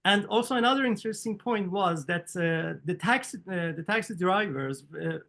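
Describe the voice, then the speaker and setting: Halting Persian-accented man, higher voice. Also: bright, forceful tone. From Iran. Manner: halting, many fillers and restarts. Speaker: documentary filmmaker, guest. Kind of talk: interview answers.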